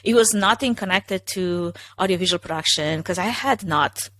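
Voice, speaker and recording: A middle-aged woman from Romania with a Romanian accent, a company founder telling her career story in an energetic, self-assured podcast interview. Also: lowish voice, moderate pace. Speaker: guest, event production company founder.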